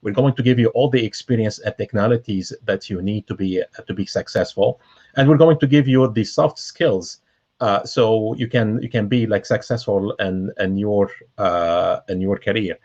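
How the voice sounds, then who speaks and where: A man's voice: on the low side, normal speed, darkish tone. A man from Lebanon, a guest in a casual conversation, explaining earnestly with many restarts. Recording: dull lo-fi call audio.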